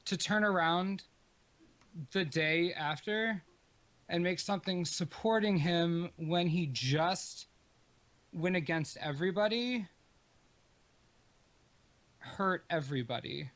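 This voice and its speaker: Male, slightly high-pitched, emphatic and accusatory, for an informal dispute. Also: slow pace, pauses often, fairly bright tone. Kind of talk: informal argument.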